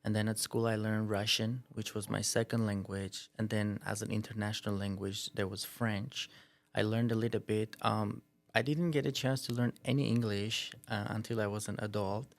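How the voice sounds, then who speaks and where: A man from Armenia, a school language department head, telling stories in a measured, reflective way on a casual podcast. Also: lowish voice, little pitch movement, vocal fry, no echo. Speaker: ELD head teacher.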